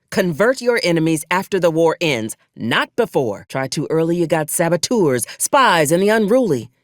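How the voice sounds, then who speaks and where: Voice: mid-range voice, no room sound, lightly acted. An actor playing a hard-bitten, sardonic old gun hand of a military officer in a video game, with dry, folksy, formal frontier phrasing. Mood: brisk, resolute. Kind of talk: video game character dialogue.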